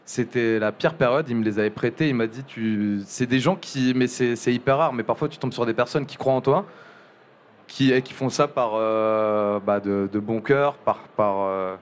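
A young man speaking casually as an interview guest, halting and restarting often, with many fillers. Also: medium pitch, a little hiss or hum. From Montpellier area. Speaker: journalist guest.

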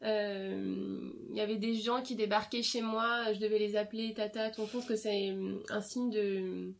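A young French woman in a relaxed interview, talking over her upbringing. She hesitates often, fills pauses with small sounds and restarts her sentences, in an ordinary mid-range voice. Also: mid-range voice, gravelly. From France. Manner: hesitant, many fillers and restarts. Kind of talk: casual interview conversation.

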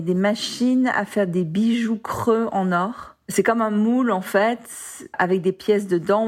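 A woman's voice: mid-range voice, darkish tone. A woman in her fifties, an executive guest, telling her career story in a casual interview, chatty and dropping English words in. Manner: chatty, sprinkles English words and lots of "en fait".

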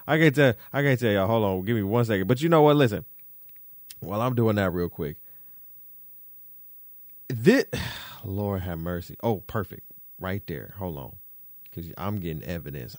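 A podcast host from Detroit doing solo commentary, roasting people in a slangy, playful way with lots of repetition. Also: male voice, low-pitched, no echo, clean studio sound.

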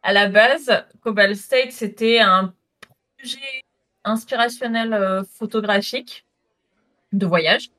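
A woman's voice: mid-range voice, takes it slowly, pauses often, bright, forceful tone. A young woman from southern France, a photographer answering interview questions in a chatty way with many fillers. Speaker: guest photographer. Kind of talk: casual interview talk.

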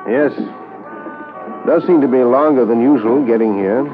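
An actor playing a sober, sympathetic police storyteller, measured and understated, in 1950s radio drama. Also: male voice, mid-range voice, music in the background, lightly acted. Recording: narrow-band 1950s radio transcription.

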